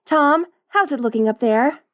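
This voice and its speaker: A woman voicing a polished female TV news anchor with a crisp newsreader cadence. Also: sits high, animated, moderate pace, a bit bright, a little hiss or hum. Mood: newscast.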